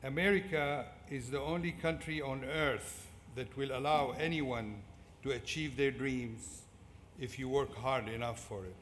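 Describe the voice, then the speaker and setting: A middle-aged man from Syria with a Syrian Arabic accent, giving a prepared motivational commencement speech in deliberate short phrases. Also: medium pitch, fairly bright tone. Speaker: commencement speaker. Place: large indoor fieldhouse at a ceremony. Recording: echoey fieldhouse PA with clear background noise.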